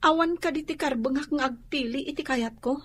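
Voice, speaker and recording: An actress with an Ilocano accent playing a young student daughter who resists an arranged marriage in a radio drama. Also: female voice, high-pitched, fairly quick, close to an everyday voice. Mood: protesting.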